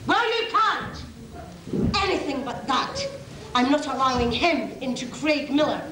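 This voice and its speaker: A woman's voice: high voice, animated, measured pace, crowd noise. A woman playing a wicked, imperious Queen and mother in an amateur community pantomime, declaiming to the audience. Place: on stage in a high school hall. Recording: echoey school hall stage, loud audience, distant mic.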